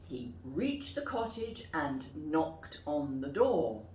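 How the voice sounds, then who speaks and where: A woman reads a story aloud for children, taking her time. Her lowish voice swings widely in pitch for drama. She is recorded in a roomy space at some distance from the mic. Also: slight background noise. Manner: expressive, deliberate, dramatic. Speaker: storyteller, teacher.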